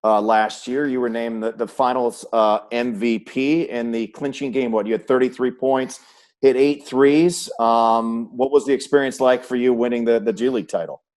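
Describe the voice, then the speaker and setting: A man's voice: mid-range voice, fairly even intonation, normal speed, a little mellow. A man hosting a sports show, putting questions to his guest in a conversational way. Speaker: sports show host and interviewer.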